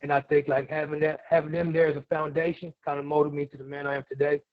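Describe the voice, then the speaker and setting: A man's voice: fairly high pitch, level tone, quick pace, no echo. Guy in his 40s chatting casually, slangy n laid back, dry thin phone sound. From Germany and Missouri, USA. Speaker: guest, hip hop artist and producer. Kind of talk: casual interview chat. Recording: narrow, tinny phone-like call audio.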